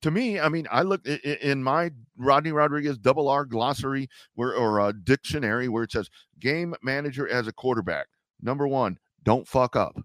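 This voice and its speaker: A man's voice: mid-range voice, moderate pace, fairly bright tone, no room sound. A man co-hosting a sports podcast, talking loosely, with many stammered restarts and frequent swearing. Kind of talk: casual sports talk.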